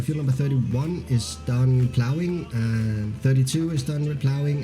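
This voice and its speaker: Danish man with a mellow voice. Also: medium pitch. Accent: mixed, hard to place, with a Danish base. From Denmark. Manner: loose and chatty, with many fillers and restarts; reads viewer comments aloud and laughs easily. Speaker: game streamer. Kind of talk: live stream commentary, chatting with viewers.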